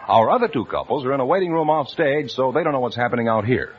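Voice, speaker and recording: A man announcing on a radio quiz show and reading sponsor ads in an emphatic, booming delivery. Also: expressive, quick pace. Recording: dull old radio broadcast.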